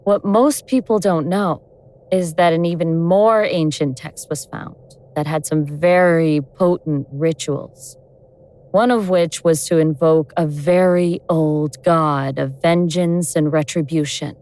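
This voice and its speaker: A woman playing an awakened ancient goddess of vengeance in human form, speaking in a wry, deliberate, sardonic way in an audio drama. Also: deep voice, fairly even intonation, darkish tone. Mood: matter-of-fact, explaining. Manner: sardonic, world-weary, deliberate explaining.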